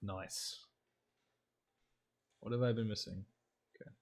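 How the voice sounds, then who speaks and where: Low-voiced man chatting on a game stream. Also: steady tone, slow, darkish tone. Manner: informal, slangy. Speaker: video game livestreamer. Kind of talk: casual gaming stream commentary, chatting with viewers. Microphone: streaming microphone. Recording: music playing behind the voice. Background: music.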